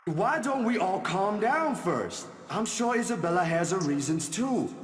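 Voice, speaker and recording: An actor playing a gentle young male peacemaker in a game, soothing and conciliatory. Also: sits high, very animated, unhurried. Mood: placating. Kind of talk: game voice acting. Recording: echoey hall reverb.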